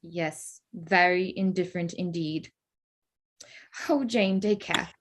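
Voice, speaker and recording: A woman playing a witty, teasing young gentlewoman in a period drama reading, wry and measured. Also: lowish voice.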